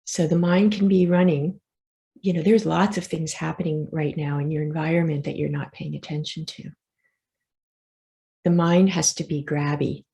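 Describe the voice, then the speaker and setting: A woman leading a guided meditation as the teacher, speaking softly and reassuringly in gentle, unhurried instructions with pauses. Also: deep voice, fairly even intonation, gravelly, muffled recording.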